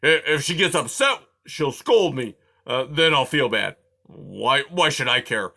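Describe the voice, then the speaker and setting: A man voicing a grumpy, complaining male porcupine who snarls and shouts in loud outbursts. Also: mid-range voice, expressive, unhurried, creaky, pitched above the performer's own voice, heavily acted. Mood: grumbling.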